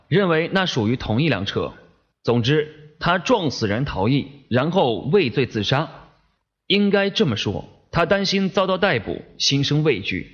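A man's voice: medium pitch, fairly bright tone, husky. A man playing a methodical police detective questioning someone in an audio drama, businesslike and pressing. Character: male police detective, probing, methodical, firm interrogator. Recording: slightly dull, lo-fi microphone sound.

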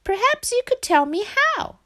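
Woman voicing a high-pitched, plaintive little male frog. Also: big pitch swings, a little slow, bright, forceful tone, dry recording, studio quality, exaggerated voice. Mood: eager. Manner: plaintive, childlike. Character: small eager male frog, easily downcast.

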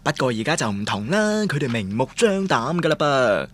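An actress playing a cheeky, mocking student classmate in a radio drama, speaking wry Guangdong Cantonese. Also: female voice, extremely low pitch, very animated, normal speed, exaggerated voice.

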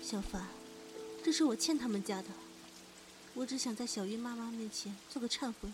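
A woman playing a guilt-ridden, fragile young woman in an audio drama, halting and sorrowful. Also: a little high, loud music behind the voice, natural voice. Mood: sad, pleading. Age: young adult. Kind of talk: Chinese audio drama acting.

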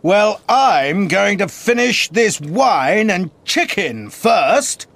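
A man playing a bold, commanding musketeer leader in a dramatised storybook, speaking assertively and deliberately, calm under fire. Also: sits high, heavily acted. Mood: nonchalant.

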